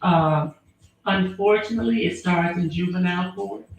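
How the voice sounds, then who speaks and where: A woman attorney, answering interview questions in an earnest, measured way with frequent fillers. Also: on the low side, vocal fry, slight background noise. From Alabama, US. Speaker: attorney and former prosecutor. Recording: very echoey room.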